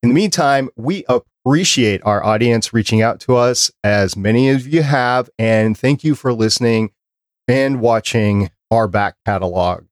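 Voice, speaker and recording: A man hosting an interview podcast, speaking in a measured, deliberate way as he addresses listeners and guests. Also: medium pitch, no echo, clean studio sound. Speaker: podcast host.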